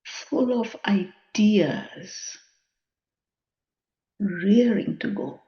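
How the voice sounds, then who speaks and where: A woman guides a spiritual meditation at an unhurried pace. Her voice is mellow and relaxed, with a contemplative delivery and long pauses between short phrases. The recording sounds somewhat dull and lo-fi. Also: medium pitch.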